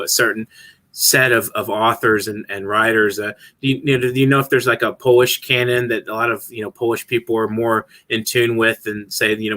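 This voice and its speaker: A man's voice: fairly low pitch, pushed and bright. A male host asking long, rambling interview questions padded with fillers and restarts. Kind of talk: casual interview.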